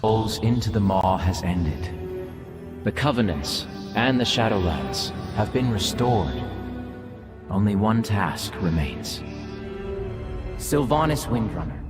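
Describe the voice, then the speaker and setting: Solemn fantasy game judge of souls: grave, formal, unhurried, loud score underneath. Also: male voice, lowish voice, lively intonation, music in the background. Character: solemn, stately otherworldly male judge of souls. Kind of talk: scripted fantasy game cinematic acting.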